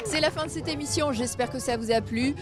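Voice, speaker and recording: A woman's voice: sits high, fairly quick, loud music behind the voice. A woman hosting a local programme, interviewing people on location as a reporter. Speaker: reporter and show host.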